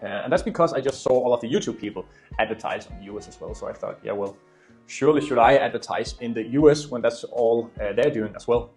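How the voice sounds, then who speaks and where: A man in his twenties speaks with a Danish accent as a native Danish speaker from Denmark. He talks casually to his online viewers, earnest and emphatic, leaning on repeated words. Music with guitar plays behind his medium-pitched voice. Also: fast, darkish tone. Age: 20s. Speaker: YouTuber and e-commerce entrepreneur. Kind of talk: casual storytelling talk to camera.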